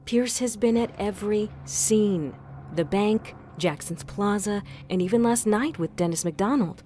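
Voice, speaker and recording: A woman playing a determined, methodical vigilante investigator in an audio crime drama, explaining things in a measured way. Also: medium pitch, warm tone, a little hiss or hum, close to an everyday voice. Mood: measured, serious.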